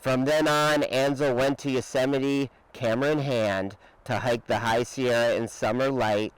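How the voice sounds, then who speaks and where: A man reading a children's picture book aloud with expressive, dramatic emphasis and drawn-out words. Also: mid-range voice, smooth, no room sound, rough recording. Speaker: storybook reader.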